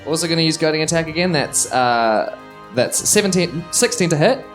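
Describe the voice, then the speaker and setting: A woman's voice: sits low, expressive, a little fast. A woman talks casually through her moves in a live tabletop game. Music plays faintly behind her on stage. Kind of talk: casual improvised game play.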